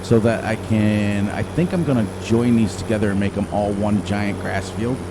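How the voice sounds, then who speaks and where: A middle-aged man with a loud voice, rambling and thinking aloud as he comments casually on his gameplay into a desk microphone. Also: fairly low pitch, steady tone, normal speed. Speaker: gaming channel host. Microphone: desk microphone turned down low. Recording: game engine noise behind.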